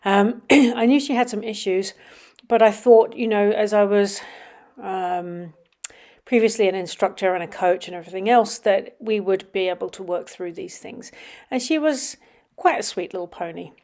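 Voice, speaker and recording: A middle-aged woman with a slightly sniffly, runny nose, casually commenting over videos of her children riding, with frequent ums and some quiet murmured asides. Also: mid-range voice. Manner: chatty, many ums, drops to quiet murmurs while watching. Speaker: vlogger.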